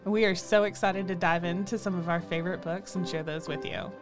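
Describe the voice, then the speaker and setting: A woman's voice: lowish voice, fairly even intonation, a little fast. Woman in upbeat promo, music behind. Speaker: podcast host.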